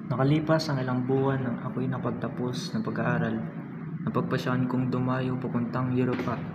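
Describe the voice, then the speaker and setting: A man's voice: medium pitch, level tone, a little fast, lightly acted. A man with a Filipino accent playing an earnest, courteous young patriot writer in a radio drama, speaking in formal, literary phrases. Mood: sincere. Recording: echoey, noisy, muffled amateur radio drama recording.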